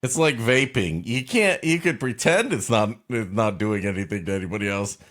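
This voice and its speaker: A man's voice: on the low side, animated, moderate pace, a bit bright. A man co-hosting a comedy podcast, cutting in with dry wisecracking asides. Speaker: co-host.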